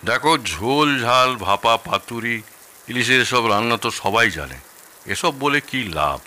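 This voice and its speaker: Man playing a sharp-voiced, grumbling father-in-law. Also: mid-range voice, expressive, measured pace. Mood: competitive. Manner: opinionated, theatrical, reminiscing. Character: grumbling, nostalgic elder Bengali father-in-law, fond of food. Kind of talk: audio drama acting.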